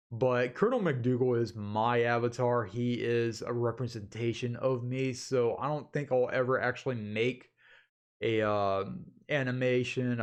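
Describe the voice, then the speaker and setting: A man hosting a channel, talking informally and chattily to his viewers in a casual, rambling vlog style. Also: medium pitch. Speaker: YouTube channel host.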